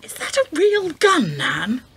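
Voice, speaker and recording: A woman's voice: high-pitched, huge pitch swings, slow, fairly bright tone, exaggerated voice. A woman playing a genteel, anxious upper-class 1920s wife in a radio play, formal and pleading.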